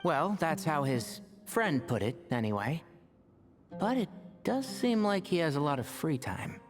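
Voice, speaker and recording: A man voicing a practical, gently sardonic young video game character with a measured, dry way of talking. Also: fairly high pitch, big pitch swings. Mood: dry, inquisitive. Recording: long hall reverb, clear music behind.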